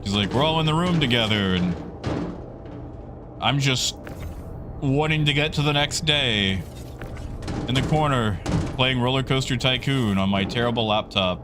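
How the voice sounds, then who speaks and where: A man's voice: mid-range voice, normal speed, pauses often, noisy background. A man hosting a livestream, chatting casually and in a rambling, conversational way with his viewers. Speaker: livestream host.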